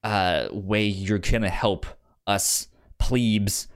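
A man's voice: on the low side, drawn-out words. A man co-hosting a podcast in casual, unscripted chat, often repeating words as he thinks aloud. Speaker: podcast co-host.

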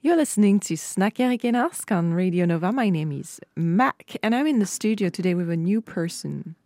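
A woman's voice: lowish voice, animated, normal speed, mellow. A woman hosting a radio chat in a studio, asking curious questions in a light, easygoing manner. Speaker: radio host. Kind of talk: casual interview chat.

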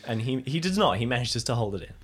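A man's voice: medium pitch, very animated, fast, soft background music. A man narrating an improvised story in the third person as a role-play performer.

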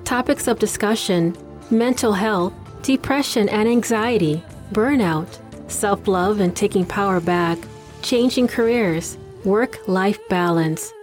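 A woman's voice: medium pitch, slow, darkish tone, faint music behind. A woman hosting a podcast, reading the guest introduction and asking questions in an upbeat, encouraging way. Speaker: podcast host. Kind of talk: podcast interview.

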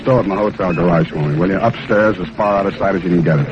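An actor playing a cool, wry American private detective in a 1940s radio drama, with laconic, slangy hard-boiled delivery. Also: male voice, deep voice, very fast, close to an everyday voice. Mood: casual, probing. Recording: muffled vintage radio broadcast, music underneath.

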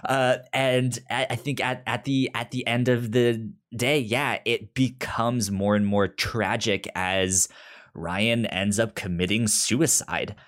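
A man co-hosting a podcast in casual chat about a TV drama, halting and often repeating or stammering on words. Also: mid-range voice, fairly even intonation, a bit bright. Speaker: podcast co-host.

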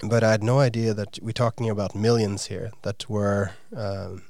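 A man interviewing a guest, speaking earnestly with frequent pauses and fillers. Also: on the low side, little pitch movement, a little mellow, no echo. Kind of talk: conversational interview.

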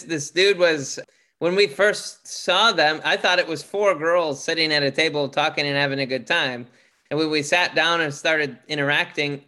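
A man with a loud, booming voice, speaking as a guest in a casual interview, earnest, with many restarts. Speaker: podcast guest, author.

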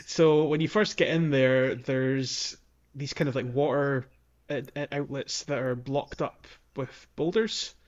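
A man's voice: medium pitch, steady tone, slow pace. Man chatting through a game, slightly dull audio. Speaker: podcast host. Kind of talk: casual game walkthrough chat.

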